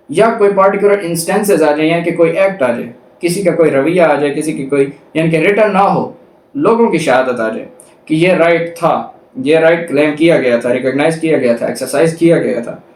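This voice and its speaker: Echoey Pakistani man lecturing, higher-pitched voice. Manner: didactic, repeats and lists points. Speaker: law lecturer. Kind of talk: explanatory lecture, reading out statutes and explaining them. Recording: echoey, reverberant room sound.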